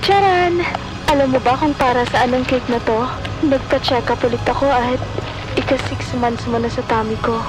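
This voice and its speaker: Actress as tender, high-voiced Filipino expectant mother. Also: normal speed, music in the background, lightly acted, low-quality recording. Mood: joyful, sentimental. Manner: tender, sentimental. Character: loving, tender expectant mother speaking to unborn baby. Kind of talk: Filipino audio drama monologue.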